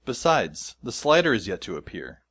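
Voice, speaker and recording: A man's voice: fairly low pitch, very animated, normal speed, a bit bright. A man narrating as a wry, sarcastic teenage boy with a dry, sardonic manner, over background music. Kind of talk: fan audio drama narration.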